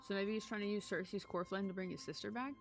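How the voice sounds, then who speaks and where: Creaky-voiced young woman streaming a game. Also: medium pitch, quick pace, faint music behind. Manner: casual, sweary. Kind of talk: live playthrough reacting and reading game text aloud.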